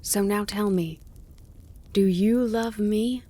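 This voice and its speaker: A woman's voice: slow, warm tone. A woman voicing a young male deer who speaks eagerly, pleading and then firm. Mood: ardent pleading. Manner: eager, pleading, rising pitch.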